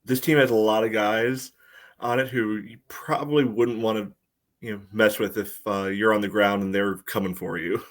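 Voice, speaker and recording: A man with a fairly low voice talks as co-host of a sports podcast. He analyses the game conversationally, feeling out his phrases with a lot of "you know". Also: steady tone, moderate pace. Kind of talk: casual chat, sports analysis.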